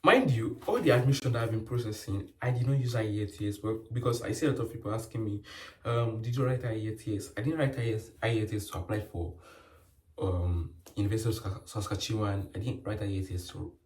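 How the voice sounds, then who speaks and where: Young nigerian guy rambling through a vlog story, lowish voice, far from the mic. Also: fast talker, a little mellow, a little hiss or hum. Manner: chatty, rambling, lots of fillers and restarts. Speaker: nurse vlogger. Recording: roomy sound from a distant mic.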